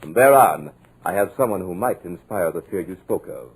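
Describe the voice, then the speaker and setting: A cultured villain with a husky, low voice, his speech swooping between silky calm and sudden threat. His mocking manner stays measured and polite. He comes through an old radio play recording. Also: full character voice, low-quality recording. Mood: menacing. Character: sinister, cultured male villain holding a writer captive. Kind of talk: 1950s radio drama acting.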